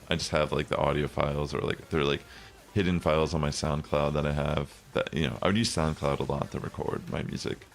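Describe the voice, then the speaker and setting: A young man with a very low, bassy voice chatting loosely as a streamer, rambling with lots of fillers. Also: gravelly. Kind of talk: casual chat while gaming. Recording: faint music behind.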